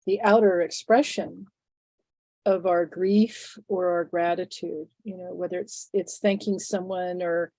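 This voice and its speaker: A woman in her sixties, phlegmy and sniffly from a head cold, speaks as an interview guest in a thoughtful, halting way full of fillers and restarts. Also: fairly low pitch, fairly even intonation, warm tone, no echo. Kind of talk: reflective conversational interview.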